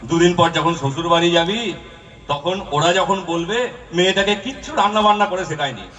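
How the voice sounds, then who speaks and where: A high-voiced village father acted by a man in an amateur puppet show, nagging and easily flustered. He sounds distant, as if picked up by a stage microphone. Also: fast talker, fairly bright tone. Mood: scolding, exasperated. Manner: scolding, stammers when cornered. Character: poor nagging village father, scolding yet servile to creditors.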